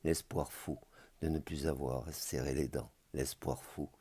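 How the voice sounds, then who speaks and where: A man reciting a scripted spoken-word poem in a solemn, measured way, with deliberate phrasing. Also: rumbling low, steady tone, mellow, breathy edge.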